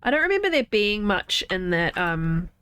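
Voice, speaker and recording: A woman's voice: medium pitch, big pitch swings, moderate pace, fairly bright tone, clean tone. A young woman streamer with a congested, stuffy nose, chatting casually and thinking aloud as she plays a game from home. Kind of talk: casual live gameplay chat.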